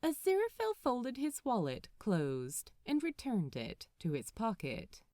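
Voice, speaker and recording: Animated woman reading a story, unhurried. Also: fairly low pitch, no room sound, clean studio sound. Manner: animated, measured, dramatic phrasing. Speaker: podfic narrator. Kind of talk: expressive scripted storytelling narration.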